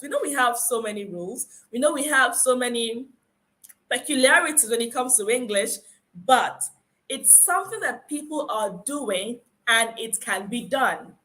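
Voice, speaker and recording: Nigerian woman lecturer, high bright voice, encouraging and emphatic phonics teacher. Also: poor audio. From Akwa Ibom, Nigeria. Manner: encouraging, emphatic, repeats key points. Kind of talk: conference lecture.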